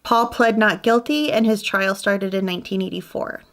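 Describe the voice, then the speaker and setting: A woman's voice: mid-range voice, steady tone, moderate pace. A woman hosting a true crime channel, narrating a scripted case story in a matter-of-fact way.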